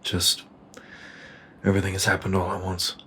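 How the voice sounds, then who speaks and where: A man plays an earnest soldier in a sci-fi audio drama. His voice is somewhat low, with faint ambient noise. He speaks in a casual, plain way. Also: completely flat delivery, normal speed, sharp tone. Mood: downcast. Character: earnest, troubled male soldier, reluctant squad leader.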